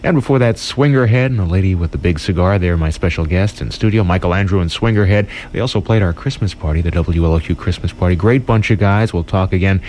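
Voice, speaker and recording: A man with an American accent working as a radio DJ, presenting smooth jazz and short information updates as morning host. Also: low-pitched, fast talker, hardly any pauses, a little mellow, a little hiss or hum.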